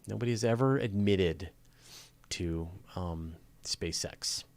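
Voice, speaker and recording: A man's voice: sits low, slow, a little mellow. A man hosting a casual live question-and-answer show, talking in an informal, joking, conversational way. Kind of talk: casual live Q&A chat with viewers.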